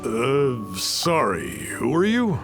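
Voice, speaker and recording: A man's voice: mid-range voice, very animated, very slow, creaky, exaggerated voice. A man playing a wary, easily offended townsman in a video game, puzzled and indignant in manner. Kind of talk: English video game voice acting. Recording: music playing behind the voice.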